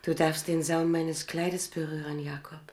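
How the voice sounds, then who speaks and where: A woman's voice: deep voice, level tone, measured pace, darkish tone. An actress in a German radio play, playing an imperious, seductive noblewoman who schemes and commands. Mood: seductive, commanding. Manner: commanding, coaxing.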